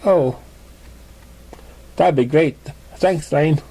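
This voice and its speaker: A shy young stallion from a pony storybook, played by a man, talks in a raised pitch. He is awkward and self-conscious, close to an everyday voice. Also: very slow, vocal fry, warm tone, husky, heavily acted. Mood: hesitant.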